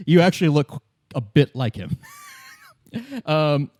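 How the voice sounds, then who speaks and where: Halting, conversational man running a game. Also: fairly high pitch, lively intonation, a little mellow, dry recording, clean studio sound. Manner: conversational, halting, rephrases as he goes. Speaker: game master. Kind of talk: improvised tabletop game narration.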